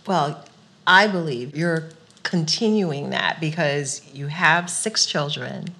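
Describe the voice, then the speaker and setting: A woman's voice: low-pitched, slow pace, pushed and bright. A woman interviewing a guest, asking questions and adding encouraging, affirming remarks. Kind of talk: warm conversational interview.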